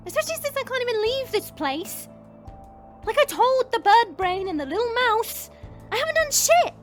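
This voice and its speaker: A woman playing a cocky, mocking female vampire monster in an audio roleplay drama, with a drawn-out, sarcastic delivery. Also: very high-pitched, very animated, faint music behind. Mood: sardonic, defensive.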